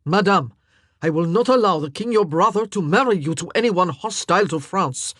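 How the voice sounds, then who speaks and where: A woman reading the lines of a domineering young king with forceful, growling retorts. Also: on the low side, animated, moderate pace, no echo, clean studio sound, pitched above the performer's own voice. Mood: menacing. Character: domineering young French king, persistent and menacing.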